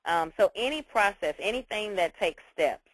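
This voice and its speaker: A woman in a teaching role talks through instructions informally. She is reassuring and repeats key points, in a middling pitch with a very dry sound. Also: animated, moderate pace, husky, no room sound, rough recording. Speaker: teacher leading a class conference call.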